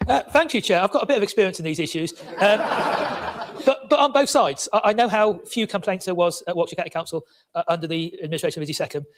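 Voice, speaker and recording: Man, councillor, debate speech, very fast, slightly high, stumbling repeats. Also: animated, background noise. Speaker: county councillor.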